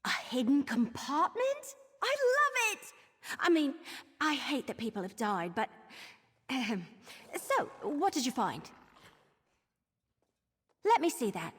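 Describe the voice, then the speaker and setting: Perky, highly animated female elf investigator. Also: takes it slowly, pauses often, breathy edge, big-room sound, full character voice. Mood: thoughtful, determined. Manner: perky, expressive. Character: eager, chatty female Wood Elf investigator. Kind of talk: video game voice acting.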